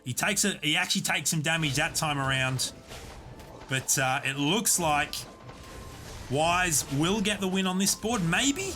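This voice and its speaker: Bright-voiced male game caster. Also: a little high, measured pace, soft background music. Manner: steady, explanatory play-by-play. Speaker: esports caster and streamer. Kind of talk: live game commentary. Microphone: desk USB condenser mic.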